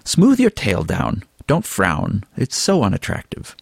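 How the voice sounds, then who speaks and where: A man's voice: on the low side, huge pitch swings, unhurried, vocal fry, husky, no echo, studio quality, exaggerated voice. A man voicing a vain, flirtatious, theatrical male weasel in an audiobook, haughty and florid in manner. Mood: smug, airy. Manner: haughty, florid, wordy, drawling endearments. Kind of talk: audiobook character dialogue.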